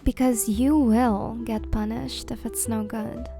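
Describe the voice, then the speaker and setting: Woman acting a slow-talking, flirty mafia princess. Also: fairly high pitch, fairly even intonation, creaky, over music. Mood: playful teasing.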